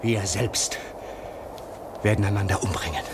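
An actor in a German radio play, playing a cynical, fatalistic polar researcher, bitter and provocative. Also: male voice, on the low side, unhurried, a little hiss or hum. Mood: grim, defiant.

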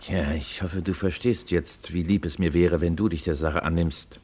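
An actor playing a worried, courteous well-off husband in a German radio crime play, polite, earnest and a little hesitant. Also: male voice, deep voice, fairly even intonation, moderate pace, noisy background, close to an everyday voice. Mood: earnest, thoughtful.

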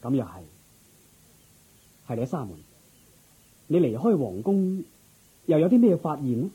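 A man playing a dignified, courteous and curious king in a radio drama, with stately, expressive theatrical delivery. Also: fairly high pitch, measured pace, slightly rough, heavily acted, rough recording. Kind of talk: Cantonese Buddhist radio drama acting.

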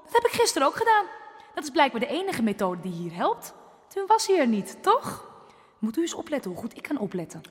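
A defiant, sharp-tongued young woman. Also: high voice, wildly swooping pitch, fairly quick. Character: stubborn young village woman demanding a hearing. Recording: reverberant room.

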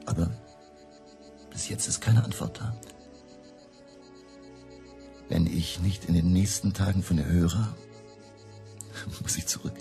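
A hunted refugee confides in a very deep, creaky voice. He is weary and resigned, halting as he goes. Music plays clearly behind this radio drama. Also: fairly even intonation, dark tone. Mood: anguished, resigned. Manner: halting, subdued confessional storytelling. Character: hunted German political refugee, weary, fearful, resigned man.

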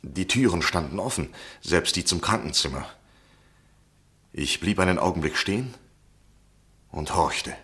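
A man playing an anxious, insistent gentleman journalist in an old German radio play, deliberate and confessional in his literary phrasing. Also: deep voice, animated. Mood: brooding. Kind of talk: 1960s German radio play acting and narration.

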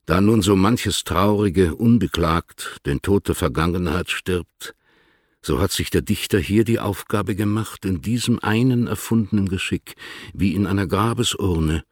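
An actor playing a dignified older male poet in a literary radio drama, speaking formally and with composed, measured fondness. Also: sits low, no room sound, clean studio sound. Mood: solemn. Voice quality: gravelly, husky.

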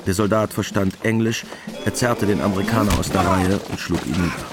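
Male narrator for a radio play, deep voice, scripted storytelling with music clearly behind. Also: fairly quick.

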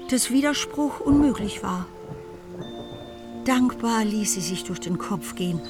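A woman's voice: mid-range voice, close to an everyday voice. A woman performing as the narrator of a period novel in a radio play, in scripted literary narration.

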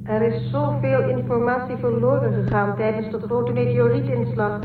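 An actress playing a serene, authoritative angel-like guide of a spaceship in a science fiction radio drama, speaking calmly and formally. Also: female voice, on the high side, moderate pace, noisy background, lightly acted. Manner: calm, formal, patient. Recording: echoey, distant, narrow-band, loud music.

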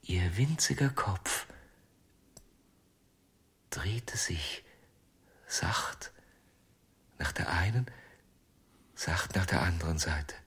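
An actor playing a haunted, obsessive ventriloquist in a German radio crime drama, husky and often near a whisper, speaking slowly and haltingly. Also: male voice, low-pitched, lively intonation, exaggerated voice. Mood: hushed, entranced. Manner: slow, halting, hushed storytelling. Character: haunted, obsessive male ventriloquist confessing, tender and weary.

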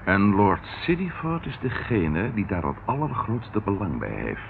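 On a narrow, slightly noisy old radio recording, a plain-spoken male detective inspector. The voice sits fairly low but moves about freely as he questions and remarks bluntly. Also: sing-song, unhurried, gravelly, exaggerated voice. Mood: probing. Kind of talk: radio drama acting.

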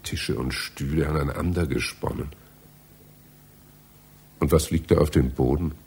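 An actor playing a polite, anxious, bumbling library visitor in a German radio play, with a hesitant, puzzled manner. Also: male voice, a bass voice, gravelly, a little mellow, a little hiss or hum, exaggerated voice. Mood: puzzled muttering. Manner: hesitant, puzzled, muttering to himself.

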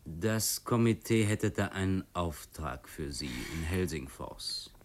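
An actor playing a smug revolutionary comrade in a radio play, giving orders in a clipped, condescending, probing way. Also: male voice, deep voice, animated, slow pace. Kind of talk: German radio play acting.

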